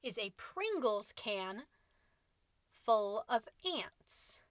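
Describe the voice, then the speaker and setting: A woman's voice: a little high, big pitch swings, very slow, no echo, heavily acted. A woman playing a catty, indignant mom who hosts a podcast, delivering a sarcastic, melodramatic comedic monologue. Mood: scheming. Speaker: podcast host.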